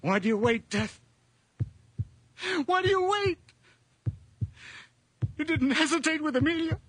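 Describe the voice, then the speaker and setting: A woman's voice: high-pitched, lively intonation, a little slow, dry recording. A woman playing a frail, despairing old person awaiting death in an old radio horror drama, plaintive and wailing.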